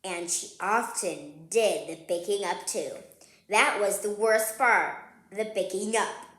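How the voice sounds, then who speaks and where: A woman reading a children's picture book aloud, playful and expressive, chatting to young listeners between lines. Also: medium pitch, takes it slowly. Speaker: read-aloud host. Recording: roomy, echoing sound.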